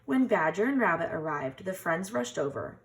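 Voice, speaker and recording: A woman teacher reading a children's storybook aloud in an expressive, dramatic way. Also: on the low side, moderate pace. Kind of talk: scripted children's storybook narration.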